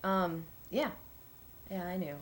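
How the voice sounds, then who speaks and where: Low-voiced, unhurried, tentative witness. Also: female voice. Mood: tentative, thoughtful. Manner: halting, tentative. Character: tentative woman answering questions about her shy friend. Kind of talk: naturalistic film monologue, interview-like testimony. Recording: clear steady background noise.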